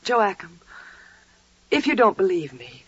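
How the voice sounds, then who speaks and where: An actress playing a devout, quietly joyful older biblical wife with reverent, deliberate delivery in a 1940s radio drama. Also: female voice, medium pitch, sing-song, breathy edge, no room sound. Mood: solemn. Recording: muffled, dry vintage 1940s radio recording.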